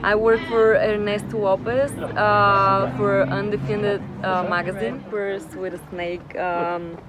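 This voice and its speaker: A woman's voice: mid-range voice, level tone, slow pace, crowd noise. A woman in her thirties, originally from Bulgaria, gives brief, hesitant answers at an outdoor red carpet event.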